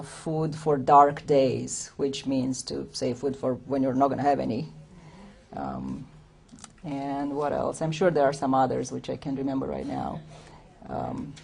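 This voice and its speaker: Low-voiced woman poet. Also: vocal fry, warm tone, slightly rough. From Romania. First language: Romanian. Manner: unhurried, reflective, explains between poems with hesitant asides. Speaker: poet reading her own work. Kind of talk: poetry reading with spoken commentary.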